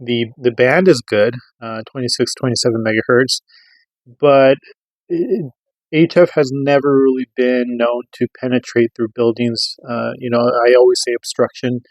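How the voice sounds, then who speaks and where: American man with an even, dry-recorded delivery. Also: medium pitch, normal speed, no room sound, poor audio. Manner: rambling, informal explaining. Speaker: radio reviewer. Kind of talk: casual product review monologue.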